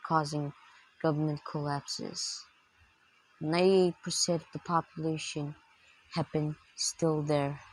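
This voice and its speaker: A synthetic, husky voice reading a scripted emergency broadcast announcement in a formal, unvaried manner. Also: mid-range voice, low-quality recording. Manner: flat, formal, synthetic delivery.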